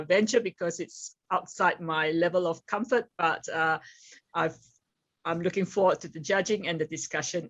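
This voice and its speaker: A middle-aged woman from Singapore and England introducing herself as a judge, speaking a little haltingly. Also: lowish voice, fairly bright tone, no room sound. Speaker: competition judge. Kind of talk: self-introduction speech.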